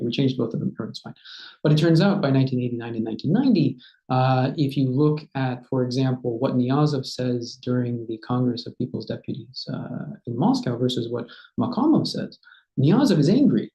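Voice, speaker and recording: Historian, thirties, male: measured lecturer, mellow voice, dull roomy webcam sound. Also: mid-range voice. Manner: measured lecturing with frequent "uh" and "right?". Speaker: historian, guest speaker. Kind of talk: academic talk answering a question. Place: home study with bookshelves. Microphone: laptop webcam on video call. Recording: dull, roomy video-call audio.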